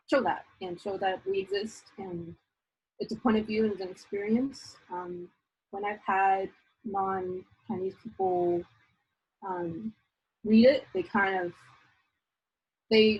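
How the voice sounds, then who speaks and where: A woman comic artist from the United States answering questions casually in a husky, soft-spoken, modest way. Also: mid-range voice. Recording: dull lo-fi sound.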